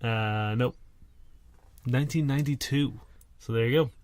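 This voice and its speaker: A man's voice: medium pitch, moderate pace, a little mellow, dry recording. Young male co-host, offhand and sarcastic, gets sidetracked, close dry closet sound. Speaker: podcast co-host. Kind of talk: casual comedic chat. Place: small closet.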